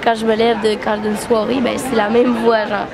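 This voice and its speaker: A woman's voice: a little high, level tone, moderate pace, crowd noise. A young woman with a Québécois accent, congested and tired, chatting casually while vlogging and using lots of fillers. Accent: Québécois French. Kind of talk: casual travel vlog.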